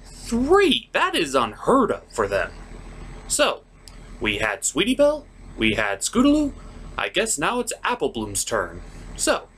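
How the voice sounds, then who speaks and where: A man's voice: high voice, a bit bright, slight background noise. A man hosting a jokey, scripted cartoon recap in a theatrical, sarcastic way. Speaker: host of a cartoon episode review.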